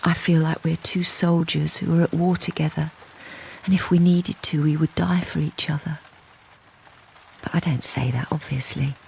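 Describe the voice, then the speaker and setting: A woman's voice: deep voice, fairly even intonation, normal speed, vocal fry, a little mellow, slight background noise, low-quality recording. A woman playing a timid, anxious wife in a radio drama, speaking hesitantly with stammering restarts, both in dialogue and intimate narration. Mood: quiet, confiding. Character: anxious, timid wife who has turned invisible.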